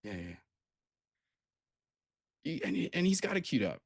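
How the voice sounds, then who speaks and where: A man's voice: fairly high pitch, sing-song, moderate pace, creaky, breathy edge. Guy hosting a livestream, casual chatty talk, dry close sound no room echo. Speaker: host of a live charity table read. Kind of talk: casual live-stream hosting.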